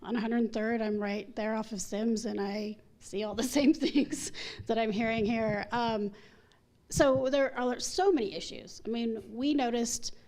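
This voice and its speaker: A middle-aged woman with a fairly high voice speaks up at a community meeting. She sounds chatty and earnest and slips small laughs in between her points. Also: fairly even intonation, a little slow, darkish tone, poor audio. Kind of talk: public comment at a meeting.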